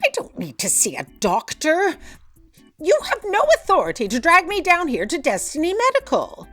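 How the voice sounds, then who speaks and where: A woman's voice: high-pitched, wildly swooping pitch, moderate pace, fairly bright tone, faint music behind, full character voice. A woman playing a haughty, forgetful editor-in-chief in a comedic audio drama, speaking formally and imperiously. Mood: indignant. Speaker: voice actor.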